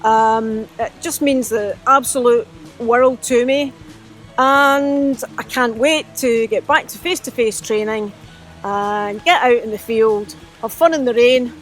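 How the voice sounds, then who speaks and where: A woman volunteer giving an excited, chatty thank-you message after winning an award. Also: on the high side, takes it slowly, faint music behind, poor audio. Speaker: award winner, cadet volunteer.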